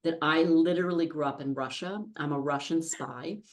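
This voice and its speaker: Woman, fifties, American accent, low voice, chatty, self-deprecating, casual podcast guest. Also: normal speed. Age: 50s. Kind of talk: casual anecdotal interview conversation. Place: podcast studio.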